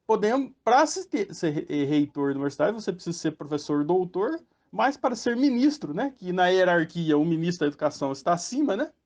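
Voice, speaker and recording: A man's voice: high-pitched, big pitch swings, fairly quick, hardly any pauses, darkish tone. A Brazilian man hosting a podcast, rambling through casual commentary with many fillers and tag questions. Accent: Brazilian Portuguese. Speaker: podcast host. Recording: muffled lo-fi sound.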